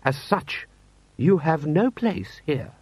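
A man's voice: on the high side, lively intonation, a little slow, dry recording, pitched above the performer's own voice. A man voicing a haughty, stiff military lord with pompous, condescending formal speech.

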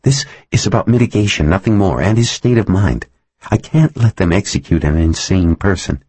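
A man acts out an earnest younger woman lawyer from a thriller audiobook. The voice is lifted well above the narrator's and slightly husky, insistent and full of probing questions. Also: sits low, lively intonation, moderate pace, a little mellow, no room sound, pitched above the performer's own voice. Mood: urgent. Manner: pressing, questioning.

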